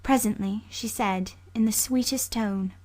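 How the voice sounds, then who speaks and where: Female narrator, classic novel audiobook: medium pitch, composed, sombre, scripted storytelling delivery. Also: unhurried, darkish tone.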